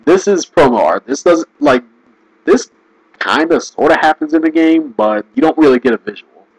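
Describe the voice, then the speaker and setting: Man, hoarse, casual rambling commentary, lively pitch, dry sound. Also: normal speed, no echo, rough recording. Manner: rambling, full of "like" and restarts; jokey, laughs often. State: losing his voice. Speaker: video commentator.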